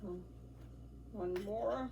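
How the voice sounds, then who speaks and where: A woman's voice: mid-range voice, extremely slow, vocal fry, far from the mic. A woman with a stuffy nose chatting casually in an unboxing vlog, rambling with many fillers. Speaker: vlogger. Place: outdoors near a garden. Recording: noisy, from off mic.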